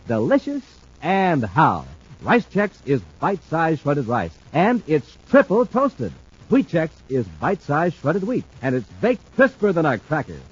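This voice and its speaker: Casting: punchy American male radio announcer, fairly high and lively, dull vintage recording. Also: breathy edge. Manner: punchy, theatrical, emphatic. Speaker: radio announcer and sponsor pitchman. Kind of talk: 1950s radio announcing, dramatic scripted narration. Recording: dull old radio recording, faint noise.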